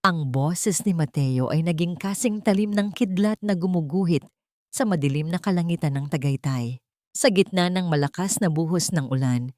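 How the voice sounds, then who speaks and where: A woman narrates a melodramatic audiobook in a somewhat low voice. The delivery is solemn and suspenseful, and the recording is dry and close. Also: darkish tone, no room sound. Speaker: story narrator. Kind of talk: scripted dramatic audiobook narration.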